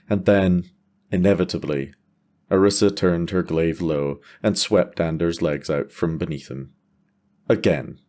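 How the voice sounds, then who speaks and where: A man narrating a scripted fantasy story in a measured way, with dramatic pauses. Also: low-pitched, darkish tone.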